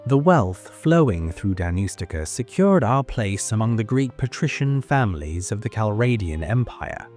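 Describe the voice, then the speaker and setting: A man playing a wistful young noble heir, telling his story in measured, formal first-person narration for an audio drama. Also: fairly low pitch, big pitch swings, a little mellow. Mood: proud, measured. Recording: music under the voice. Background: music.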